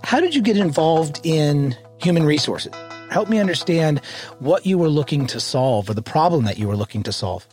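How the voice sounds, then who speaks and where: A man hosting an interview, asking friendly, inquisitive questions at a measured pace. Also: fairly high pitch, lively intonation, a little mellow, faint music behind. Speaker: interviewer host.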